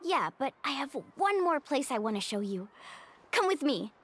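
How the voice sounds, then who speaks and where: An upbeat, loyal young guide. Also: female voice, sits high, very animated, normal speed, slight background noise. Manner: bright and sincere. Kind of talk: game voice acting.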